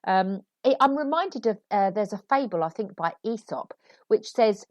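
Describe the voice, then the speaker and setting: A woman hosts a solo podcast and talks through writing tips in a chatty, animated way. She is recovering from a cold and sounds a little sniffly. Her pitch rises and falls a lot, and the recording is dry and close. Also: normal speed, no echo. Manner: chatty and animated, laughing asides. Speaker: podcast host and author. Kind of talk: solo podcast advice talk.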